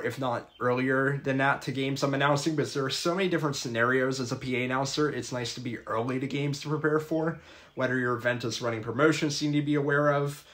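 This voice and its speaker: A man's voice: mid-range voice, fairly bright tone. Earnest young man giving advice. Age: young adult. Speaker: public address announcer. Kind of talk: scripted advice vlog.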